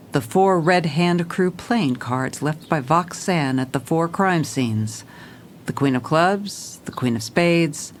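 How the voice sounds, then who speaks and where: Actress as a low-voiced hard-boiled police commissioner. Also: female voice, slight background noise, close to an everyday voice. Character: world-weary, determined female police commissioner, hard-boiled noir narrator. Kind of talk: scripted noir narration, audio drama.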